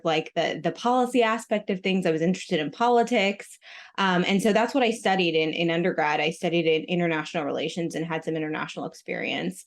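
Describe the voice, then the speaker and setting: A woman in her thirties, born in Russia and raised in Maryland, talking casually as a podcast guest with lots of ums and likes. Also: lowish voice. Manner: chatty, many ums and likes. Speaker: guest, retail executive. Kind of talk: casual interview talk.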